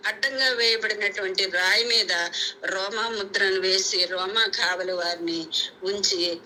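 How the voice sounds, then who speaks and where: A woman's voice: mid-range voice, fairly even intonation, unhurried, bright, forceful tone. A woman from India preaching a Bible teaching in an explanatory way, repeating points for emphasis. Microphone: conference call. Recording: noisy prayer conference call audio.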